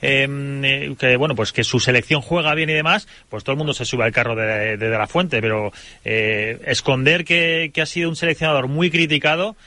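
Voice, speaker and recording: A man, a sports journalist, giving a halting opinion on a radio panel. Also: medium pitch, fairly even intonation, sharp tone, no echo. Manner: halting, many fillers and restarts.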